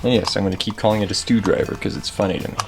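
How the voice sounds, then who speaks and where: A man's voice: on the low side, steady tone, very fast, gravelly. A man hosting a repair video in casual chat, wry, joking and rambling, with some swearing.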